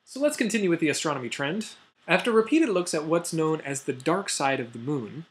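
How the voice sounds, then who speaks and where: A young man with an American accent and a somewhat high-pitched voice hosts a science explainer. His delivery is semi-scripted but conversational. He often breaks off into playful asides and mock exclamations before returning to the explanation. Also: fairly bright tone. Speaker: host of a science news video show.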